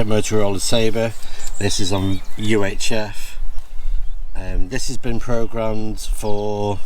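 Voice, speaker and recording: British male vlogger, lowish voice, unhurried and musing, casual unscripted delivery, recorded outdoors with light ambient noise. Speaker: amateur radio hobbyist and video host. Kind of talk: casual rambling outdoor vlog chat. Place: outdoors on a rural walk.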